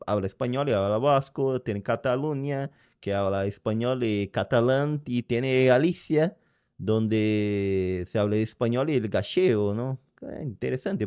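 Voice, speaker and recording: A man's voice: mid-range voice, a little slow, darkish tone, clean tone, no echo, clean studio sound. A man whose first language is Portuguese, with a Brazilian accent, chatting casually and hesitantly while reacting to a video and reading the chat. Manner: hesitant, searching for words, reads chat aloud. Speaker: reaction streamer.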